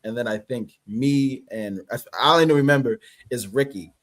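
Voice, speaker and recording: A man with a Canadian accent, a guest actor chatting casually on a livestream, relaxed and rambling, laughing often. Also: mid-range voice, moderate pace.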